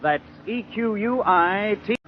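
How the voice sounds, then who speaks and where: A man's voice: sits high, animated, takes it slowly. A man playing an earnest, ordinary customer in a scripted 1950s radio commercial. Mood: earnest, agreeable. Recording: dull old radio recording, faint noise.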